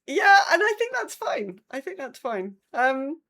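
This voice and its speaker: A woman co-hosting a podcast, chatting casually in a halting, self-interrupting way. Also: sits high, sing-song, a bit bright. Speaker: podcast co-host. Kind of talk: casual chat.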